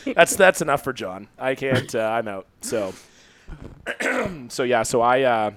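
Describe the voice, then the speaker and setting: A man's voice: medium pitch, big pitch swings, takes it slowly, a bit bright, a little hiss or hum. A man co-hosting a podcast, telling rambling, profane stories in casual comedic chat. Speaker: podcast co-host.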